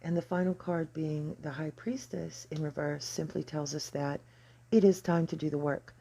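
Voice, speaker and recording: An astrology channel host explains a card reading at a deliberate pace, pausing between phrases. The voice is mellow and husky, with a gravelly creak running through it. The delivery is measured and explanatory. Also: medium pitch, fairly even intonation.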